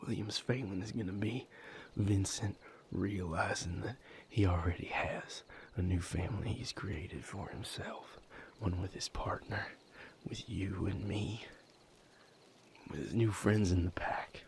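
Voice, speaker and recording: A man playing a bitter, wounded young man in an intimate audio roleplay, speaking haltingly in a breathy, gravelly murmur with long pauses. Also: low-pitched, a little hiss or hum. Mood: quietly certain. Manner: halting, confessional, broken phrases with long pauses. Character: bitter, wounded young man breaking from a manipulative vampire patriarch.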